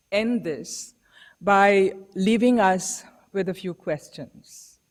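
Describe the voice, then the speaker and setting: A woman professor giving a measured, reflective public lecture, posing rhetorical questions to the audience. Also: lowish voice. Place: lecture hall.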